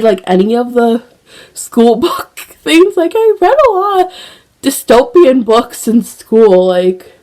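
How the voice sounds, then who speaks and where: A young woman talks at an unhurried, drawn-out pace, rambling through her thoughts with plenty of fillers and restarts. She sounds a little stuffy from a cold and coughs now and then. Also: a little high, dark tone. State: slightly congested with a cold, occasional cough. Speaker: book vlogger. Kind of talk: casual chat.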